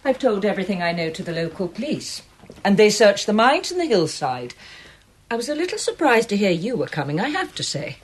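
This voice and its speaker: An actress playing a guarded gallery manager. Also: female voice, medium pitch, sing-song, fairly quick, a little hiss or hum, natural voice. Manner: clipped, formal and faintly defensive. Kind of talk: radio drama acting.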